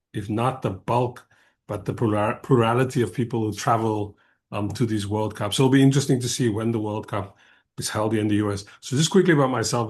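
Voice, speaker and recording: A man in his fifties, born and raised in South Africa, speaks as a professor on a discussion panel. His voice sits a little low. He talks in a reflective, meandering way and often circles back to rephrase his point. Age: 50s. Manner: thoughtful, digressive, reflective. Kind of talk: academic panel talk.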